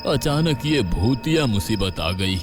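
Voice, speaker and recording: A man's voice: mid-range voice, lively intonation, unhurried, over loud music, natural voice. Man doing a grave, worried village landowner. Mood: worried, foreboding. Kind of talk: Hindi horror audio story acting.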